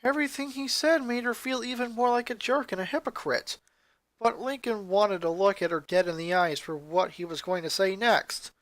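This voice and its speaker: A man's voice: high-pitched, fairly even intonation, normal speed, a bit bright, dry recording. A man reading fan fiction as a dramatic, scripted story narration.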